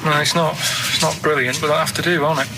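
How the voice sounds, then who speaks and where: A man's voice: a little high, level tone, fast, heavy background noise. A man co-hosting a radio show in casual, rambling chat, earnestly puzzled and asking naive questions.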